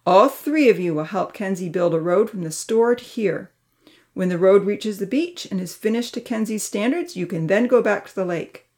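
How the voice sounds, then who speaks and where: Storybook elder man, stern but fair, firm and measured; a woman reads him. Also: on the low side, expressive, mellow.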